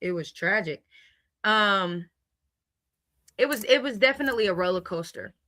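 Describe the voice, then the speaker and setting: An American woman in a casual chat, reflecting on her own life in a candid, expressive and emphatic way. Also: medium pitch, moderate pace. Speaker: co-host.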